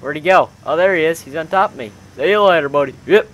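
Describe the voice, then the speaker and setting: A man's voice: fairly high pitch, fast talker, a bit bright. A young man commentating on gameplay casually with friends, exclamatory and full of slang like bro and dude. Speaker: gaming video host.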